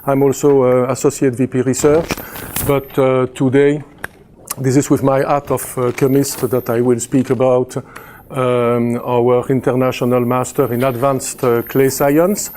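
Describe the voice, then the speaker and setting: A man's voice: mid-range voice, level tone, unhurried, darkish tone, slight background noise. A man in his fifties with a Belgian French accent, a chemistry professor giving a conference presentation, hesitant with many fillers and restarts and a dry sense of humor. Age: 50s.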